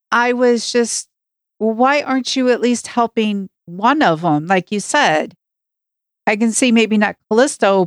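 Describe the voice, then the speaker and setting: Female podcast panelist, unhurried and clear-voiced, casual review chat. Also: mid-range voice, dry recording, clean studio sound.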